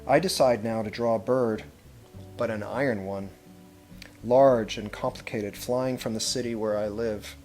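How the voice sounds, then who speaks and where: A man reads a picture book aloud as a school head would to children, with measured, expressive phrasing and pauses. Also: on the low side, soft background music. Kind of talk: storybook read-aloud with brief asides.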